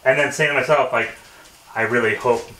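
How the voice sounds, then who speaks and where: A man's voice: mid-range voice, steady tone, a little fast, pushed and bright. A man hosting a casual conversational interview with firefighters in a workshop. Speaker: podcast host interviewing firefighters. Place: indoor workshop. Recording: echoey room, distant mic, background noise.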